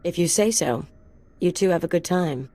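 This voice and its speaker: An actress playing a cocky, mocking mercenary sidekick in a video game, with a sardonic, teasing manner. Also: female voice, lowish voice, normal speed, dark tone, close to an everyday voice. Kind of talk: video game cutscene acting.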